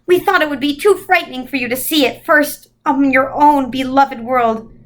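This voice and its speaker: A gentle, comforting old lady from a children's storybook, played by a woman reader. Her voice is high and motherly, and she chats along reassuringly like a fond elder. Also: normal speed, lightly acted. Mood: gentle, regretful. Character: kindly, comforting, chatty old celestial woman.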